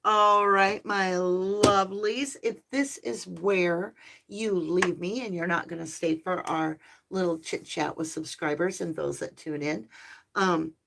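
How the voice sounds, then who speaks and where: A woman tarot reader speaks slowly and gently on a live stream, her voice sitting a little low. She sounds slightly hoarse and tired as she drifts between the cards and her viewers, calling them her lovelies. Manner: soft, slow, spiritual and affectionate, addressing viewers as lovelies. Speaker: tarot reader and channel host.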